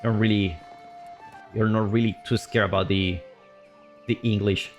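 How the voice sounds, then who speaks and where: A man streaming live commentary on a strategy game match. He is chatty and loose, and his voice leaps up on big plays before settling back to calm explaining. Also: unhurried, faint music behind. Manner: excitable, voice jumps high on big plays, then calm explaining; loose and chatty, with fillers and restarts.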